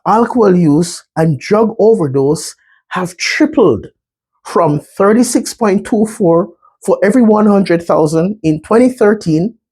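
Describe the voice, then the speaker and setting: Slow, husky Jamaican man presenting. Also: high voice, dark tone. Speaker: mental health speaker. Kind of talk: slide presentation talk.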